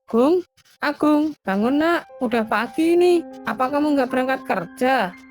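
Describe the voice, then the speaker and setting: A woman voicing an anxious, devoted middle-aged mother in an animated drama. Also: high voice, measured pace, faint music behind. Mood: concerned, calling. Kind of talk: animated cartoon drama dialogue.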